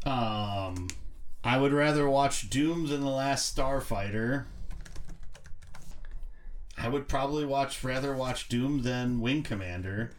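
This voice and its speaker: A man's voice: medium pitch, takes it slowly, long pauses. A man chatting casually as a panelist on a film discussion podcast.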